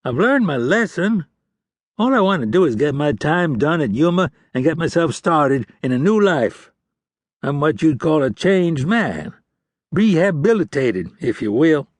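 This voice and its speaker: A man's voice: a little high, sing-song, no room sound, voice pushed up. A man voicing a chatty, sly male outlaw prisoner who wheedles and pleads in a raised voice. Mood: wheedling. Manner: wheedling, fast-talking, pleading.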